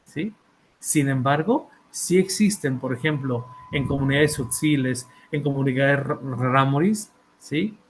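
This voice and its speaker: A Mexican man speaks slowly and deliberately in a higher register, giving an academic talk about his book. He stumbles over fillers and repeats words, often tossing in a quick check for agreement. Faint background noise sits under his voice. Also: poor audio. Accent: Mexican Spanish. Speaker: author and therapist presenting a book.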